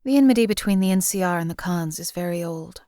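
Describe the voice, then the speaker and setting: An actress voicing a detached female assassin companion in a video game, with deadpan, measured, emotionally flat delivery. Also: lowish voice, no room sound, studio quality, lightly acted. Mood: coolly detached.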